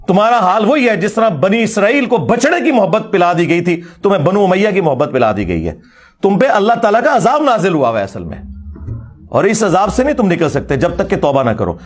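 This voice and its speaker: A man's voice: high voice, sing-song, fairly quick. A middle-aged man with a Pakistani Punjabi accent giving an informal, polemical religious lecture in a combative, mocking, emphatic way.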